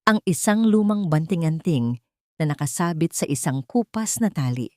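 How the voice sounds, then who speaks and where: A woman with a fairly low voice narrates a melodrama. Her delivery is scripted and dramatic, and the sound is very dry and close, free of room echo. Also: expressive, moderate pace, darkish tone.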